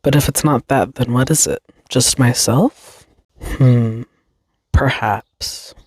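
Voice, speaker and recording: Young woman, solo podcast host, slow and meandering delivery, dry close mic. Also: extremely low pitch, darkish tone, slightly rough, no echo. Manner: slow, reflective, rambling with fillers. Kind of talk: casual solo podcast monologue.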